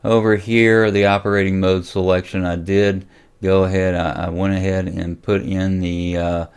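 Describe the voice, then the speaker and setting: A man with a deep, smooth voice presents an informal software tutorial. He speaks off the cuff, hesitating and thinking aloud as he moves from step to step. The tone is that of a hobbyist host explaining a setup. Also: little pitch movement, normal speed. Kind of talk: casual software walkthrough.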